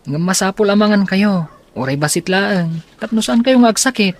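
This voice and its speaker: A man's voice: high-pitched, lively intonation, moderate pace, slight background noise. An actor playing a caring grandson in an Ilocano radio drama, patiently coaxing his elder.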